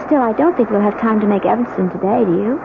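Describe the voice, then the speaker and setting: Actress as an eager young bride, tinny radio. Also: female voice, medium pitch, fast talker, gravelly, lightly acted. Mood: happy, excited. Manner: chatty, earnest, eager to reassure. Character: eager, trusting young newlywed bride, sweetly defensive. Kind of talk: 1970s radio drama acting. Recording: tinny, noisy old radio broadcast.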